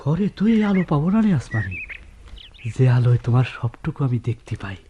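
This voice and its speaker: A man's voice: mid-range voice, big pitch swings, fairly quick, mellow, lo-fi sound, slight background noise, close to an everyday voice. Dreamy village poet with swooping voice, acted by a man. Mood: dreamy, lyrical. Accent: rural Bangladeshi. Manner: hesitant, lyrical. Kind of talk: radio drama acting.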